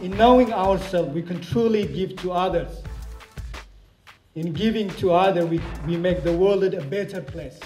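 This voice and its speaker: A man giving scripted, measured narration of first-person memoir passages. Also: sits high. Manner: measured, declamatory. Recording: roomy voice-over with music behind.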